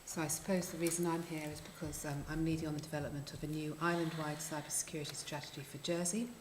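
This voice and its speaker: A woman's voice: sits low, level tone, fast talker. A woman working in government policy introducing herself on a panel, chatty with light laughs and frequent ums. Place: conference hall at a tech fair. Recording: echoey room, noisy.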